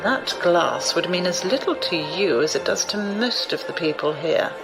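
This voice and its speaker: A woman's voice: fairly low pitch, animated, normal speed, music in the background, low-quality recording. A woman playing an imperious, shrewd, wealthy older noblewoman in an audio drama, commanding and condescending. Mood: amused, superior.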